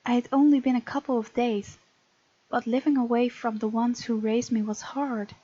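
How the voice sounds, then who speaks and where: A woman's voice: a little high, flat delivery, moderate pace, dark tone, breathy edge, lo-fi sound. A woman hosting a gaming video, expressively reading game text aloud with casual, playful commentary.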